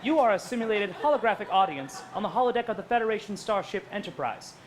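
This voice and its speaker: Man playing a formal, high-voiced android officer. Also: animated, fast talker, a little hiss or hum. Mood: formal, deadpan. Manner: stiff, formal, precise wording. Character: emotionless male android officer, earnest, formal, staging a show. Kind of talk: musical comedy stage acting. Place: live staged reading at a theater festival.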